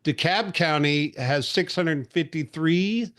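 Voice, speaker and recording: A man's voice: a little high, slow pace, fairly bright tone. A man in his forties hosting a real estate industry call, talking casually with lots of fillers and restarts. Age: 40s. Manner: chatty, rambling, frequent fillers and restarts. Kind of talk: casual market update briefing.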